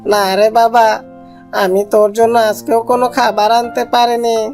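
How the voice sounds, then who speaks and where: A woman voicing a scheming father fox in a cartoon story, speaking to his cub in a deliberate, parental, explaining manner. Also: a little high, level tone, darkish tone, soft background music, lightly acted. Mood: resigned, gentle. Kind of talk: cartoon animal story dialogue.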